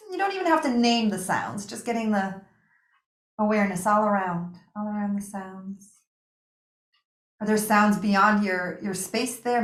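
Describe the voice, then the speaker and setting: Female meditation guide, mid-range voice, gentle and calming delivery with lingering pauses, recorded in a reverberant room. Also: medium pitch. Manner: gentle, soothing, unhurried with pauses. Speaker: yoga nidra meditation teacher. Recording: echoey room.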